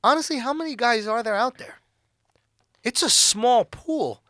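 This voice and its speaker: A man with a high, bright voice hosts a comedy podcast. He riffs brashly in loose slang and swears freely. The sound is close and dry. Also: unhurried, no echo. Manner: brash, animated riffing with slang and profanity. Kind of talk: casual comedic podcast banter.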